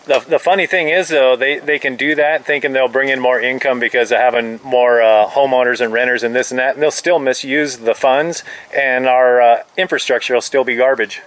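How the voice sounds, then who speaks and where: A man's voice: medium pitch, fairly even intonation, a little fast, few pauses, fairly bright tone. Man grumbling over noisy radio. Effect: two-way radio. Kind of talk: casual chat over two-way radio. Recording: clearly noisy two-way radio signal.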